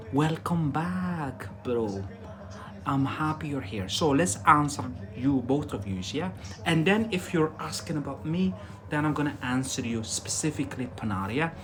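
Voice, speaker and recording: Emphatic man teaching English live. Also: fairly high pitch. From Algeria. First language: Algerian Arabic. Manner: emphatic and repetitive, keeps checking in with "yeah?" and "that's it". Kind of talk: casual live-stream lesson.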